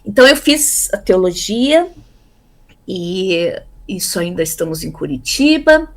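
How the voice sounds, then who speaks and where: A woman in her fifties from Brazil, telling her life story in a relaxed interview, pausing often with fillers and repeated words. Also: fairly low pitch. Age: 50s. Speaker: interviewee, theology graduate. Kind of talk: casual conversational interview.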